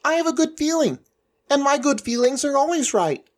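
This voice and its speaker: A man voicing a small, confident, kindly boy prophet in a story, with a high, piercing voice. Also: animated, moderate pace. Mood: earnest, reassuring. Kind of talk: character voice in storytelling. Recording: echoing, distant-sounding voice.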